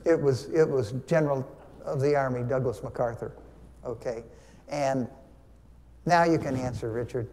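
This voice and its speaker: A man's voice: medium pitch, normal speed, long pauses, darkish tone. An older man giving a conversational, teacherly church talk, asking his congregation questions as he goes. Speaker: church speaker, veteran. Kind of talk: Veterans Day sermon talk with questions to the congregation. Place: large church sanctuary, standing before pulpit. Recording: long hall reverb.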